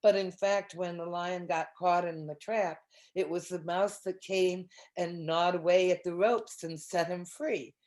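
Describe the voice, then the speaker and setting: Slightly low-voiced woman, musing aloud. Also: fairly even intonation, a little slow, darkish tone. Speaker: discussion participant. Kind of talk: casual reflective group discussion.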